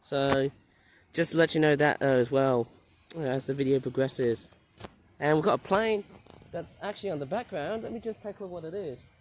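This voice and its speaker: A man with a croaky, hoarse voice from the flu, rambling and hesitant as he gives a casual running commentary while plane spotting outdoors by an airport runway. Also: on the high side, moderate pace, slight background noise. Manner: rambling, hesitant, filler-heavy.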